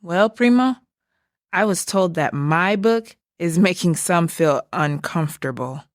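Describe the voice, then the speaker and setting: A woman's voice: on the low side, unhurried, dry recording, clean studio sound, close to an everyday voice. A woman playing a frank, concerned book puppet in a theatrical puppet show, speaking in an earnest, plain way. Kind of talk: theatrical puppet musical acting.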